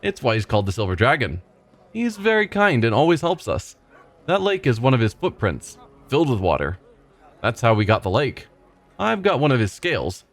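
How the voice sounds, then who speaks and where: A man's voice: mid-range voice, sing-song, moderate pace. A man voicing a cheerful, simple-minded male village fisherman who rambles and repeats himself proudly. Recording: faint game music behind.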